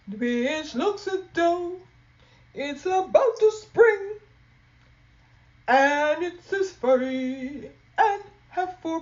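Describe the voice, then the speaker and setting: A woman's voice: high-pitched, darkish tone, muffled recording, pitched above the performer's own voice. A woman voicing a cautious mother mouse who warns her children in a firm, sing-song manner.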